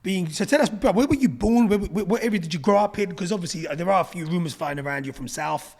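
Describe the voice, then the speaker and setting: A young London host with a slightly husky, somewhat high voice for a man runs a casual podcast interview. His pitch swings up and down a lot as he banters in easy street slang. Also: expressive, quick pace, a little mellow. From Newham, East London.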